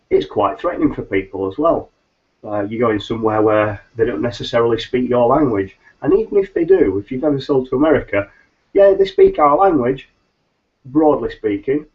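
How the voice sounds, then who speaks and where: Nasal, slightly hoarse man. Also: mid-range voice. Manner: rambling with frequent ums and restarts. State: nasal and a little hoarse from hay fever. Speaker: business consultant host. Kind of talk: solo webcast talk. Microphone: webcam mic on a video call. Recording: slightly dull lo-fi webcam audio.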